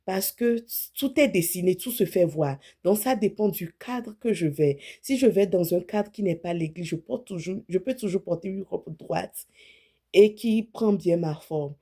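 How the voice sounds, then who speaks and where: A woman in her late thirties talks to her viewers like a friend, giving clothing advice with easy frankness. Her voice is warm and mellow, and she often stops to rephrase or correct a word as she goes. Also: fairly low pitch, measured pace, husky. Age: 30s. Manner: chatty, self-correcting, speaks frankly about her own body. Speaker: image consultant. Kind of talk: casual talk to camera.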